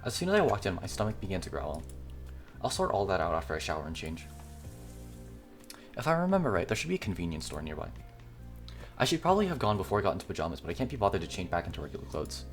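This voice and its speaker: Low-voiced man reading a visual novel aloud. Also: big pitch swings, quick pace, long pauses, faint music behind. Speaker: gaming video creator.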